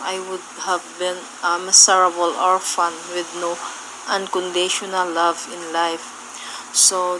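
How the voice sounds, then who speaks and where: Woman acting an unhurried earnest daughter, noisy recording. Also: lowish voice. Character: devoted adopted daughter of a murdered doctor. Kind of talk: fan audio drama acting. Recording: clear hiss and room hum.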